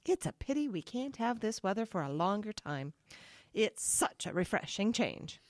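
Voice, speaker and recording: An actress playing an affectionate, practical wife in an audio drama, sweet and matter-of-fact. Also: female voice, medium pitch, big pitch swings, unhurried, no room sound, clean studio sound. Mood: chatty, wistful. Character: affectionate, practical wife who runs tours.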